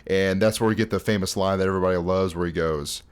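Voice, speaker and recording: Low-voiced young man, casual video essayist. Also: fairly even intonation, quick pace, clean tone. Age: 20s. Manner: conversational, informal. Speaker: host.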